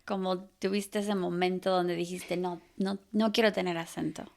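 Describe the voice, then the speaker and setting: Gravelly-voiced woman hosting a podcast. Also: fairly low pitch, fairly even intonation, moderate pace, darkish tone. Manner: attentive, lots of short agreeing replies. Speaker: podcast host. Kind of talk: casual interview chat.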